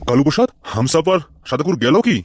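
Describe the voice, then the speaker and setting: A man's voice: a little high, sing-song, quick pace, no echo. A man voicing a scheming, coarse, blustering gangster in a comedy cartoon. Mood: boastful, impatient. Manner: blustering, coarse, swearing constantly. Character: scheming, foul-mouthed male gangster and political rival in comedy cartoon. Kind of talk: Bengali comedy cartoon dubbing.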